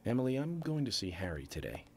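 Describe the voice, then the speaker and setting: A man voicing a calm, eccentric FBI agent in a video game cutscene, speaking in a measured, formal, unhurried way. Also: mid-range voice, a little hiss or hum. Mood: calm, assured. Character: calm, eccentric adult male FBI agent investigating murders. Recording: loud music over game dialogue. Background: music.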